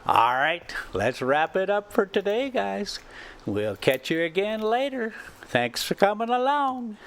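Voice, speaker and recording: An older man reading a classic short story aloud as an amateur storyteller, in halting, broken phrases. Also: high-pitched, big pitch swings, fairly bright tone. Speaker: storyteller and channel host.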